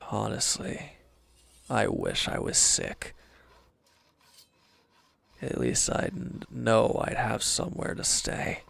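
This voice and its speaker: A man playing a wounded, defeated villain's henchman in an intimate audio roleplay, bitter, defensive and despondent, halting and sometimes dropping to a near-whisper. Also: lowish voice, wildly swooping pitch, creaky, fairly bright tone, breathy edge, no echo, exaggerated voice. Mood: despondent, weary.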